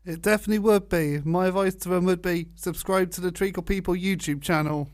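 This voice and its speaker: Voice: mid-range voice, steady tone, brisk, darkish tone. A radio interviewer putting prepared questions to a guest in a conversational way.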